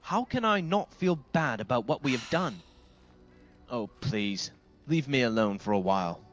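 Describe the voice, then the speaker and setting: A man's voice: medium pitch, lively intonation, moderate pace, faint music behind, natural voice. An actor playing an earnest, guilt-ridden male companion in a fantasy game, with a reproachful manner. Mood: reproachful, troubled.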